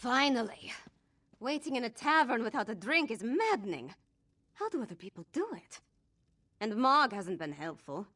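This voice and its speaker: A woman's voice: fairly high pitch, big pitch swings, normal speed, sharp tone, full character voice. A woman voicing a headstrong young princess in a fantasy video game, forthright and emphatic in her delivery. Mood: impatient. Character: headstrong young princess, grieving and righteously indignant. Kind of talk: video game dialogue acting.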